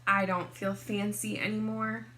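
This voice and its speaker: A woman reading a picture book aloud expressively to kindergarteners, in a deliberate teacherly way. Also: mid-range voice, flat delivery, background noise. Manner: deliberate, clearly addressed to small children.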